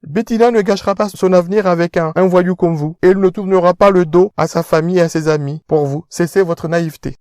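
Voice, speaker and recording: An actor playing a haughty, condescending rich young fiancé in an audio drama, speaking formally and pompously. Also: male voice, high-pitched, fast, hardly any pauses, a little mellow, clear, resonant tone, no echo, studio quality, natural voice. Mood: scornful. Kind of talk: independent audio drama acting.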